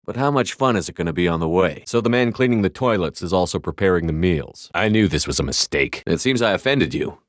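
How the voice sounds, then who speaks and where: Tough space soldier hero for a video game, deep voice, blunt and commanding, super dry studio sound. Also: male voice, animated, brisk, few pauses, no echo. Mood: measured, confident. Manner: firm, clipped, authoritative delivery. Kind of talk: scripted video game voice acting.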